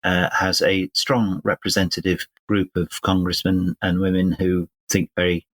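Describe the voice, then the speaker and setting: Older British male interviewer, very low register, courteous and deliberate delivery, dry recording. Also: flat delivery, fairly bright tone, no room sound. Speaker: podcast host and interviewer. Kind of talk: measured podcast interview.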